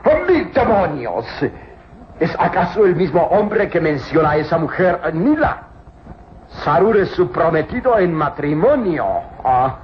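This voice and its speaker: An actor playing a cruel, arrogant villain in an old radio serial, mocking and gloating with theatrical exclamations. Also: male voice, on the high side, big pitch swings, a little slow. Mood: startled, scheming. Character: sneering, cruel male villain, arrogant tomb-robbing master of slaves. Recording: muffled, noisy old radio drama recording.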